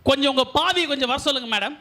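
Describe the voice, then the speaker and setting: A cheeky, pushy parcel delivery man, played by a man in a live puppet show. He pesters and teases in a very high, bright voice, repeating himself to get his way. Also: rapid-fire. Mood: teasing. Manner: teasing, repetitive, pushy.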